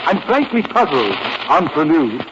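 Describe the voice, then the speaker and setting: Pompous ham poet, old radio. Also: male voice, on the high side, very animated, measured pace, background noise. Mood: grandly declaiming. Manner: theatrical, declamatory rhyming delivery. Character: pompous ham poet reciting silly doggerel. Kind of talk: 1940s radio comedy acting. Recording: dull lo-fi 1940s radio recording.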